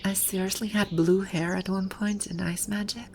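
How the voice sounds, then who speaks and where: A woman's voice: mid-range voice, steady tone, moderate pace, a little mellow, slight background noise, close to an everyday voice. A woman voicing a haughty, bossy video-game villainess who stammers and halts when flustered, in an ASMR roleplay. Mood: bewildered. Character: haughty, flustered female video-game boss brought to life. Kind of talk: ASMR roleplay audio drama.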